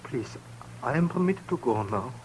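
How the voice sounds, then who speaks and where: An actor playing an anxious immigrant farmer with a German accent, pleading in halting broken English in a 1950s radio drama. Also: male voice, mid-range voice, expressive, lightly acted. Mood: fearful pleading. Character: anxious immigrant farmer, protesting his innocence. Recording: noisy old radio broadcast recording.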